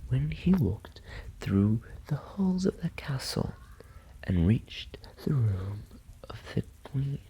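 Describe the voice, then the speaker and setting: Very slow, breathy, whispering woman storyteller. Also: very deep voice, very animated. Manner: hushed, drawn-out phrases with long pauses. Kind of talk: ASMR bedtime story narration. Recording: muffled sound with rain behind. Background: soft rain.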